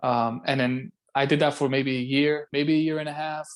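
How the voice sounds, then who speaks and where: Man, 30s, from Belgium, casual guest talk, halting restarts, video call from a room at home. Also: mid-range voice, little pitch movement. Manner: thoughtful, repeats words while searching for them. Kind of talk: casual conversation.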